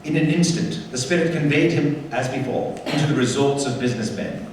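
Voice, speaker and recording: A man acts as a measured storyteller narrating a live radio drama. He is grave and literary, with a mid-range voice. The hall rings heavily around him over faint noise. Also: medium pitch, far from the mic, close to an everyday voice.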